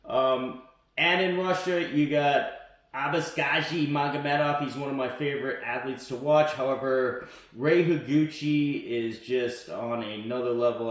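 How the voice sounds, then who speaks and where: A man's voice: medium pitch, far from the mic. Blunt, defiant man in an echoey room. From born in South Korea, raised in the United States. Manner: blunt and defiant, with frequent "um" and "you know". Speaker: wrestling channel host and coach. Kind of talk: opinionated solo commentary to camera.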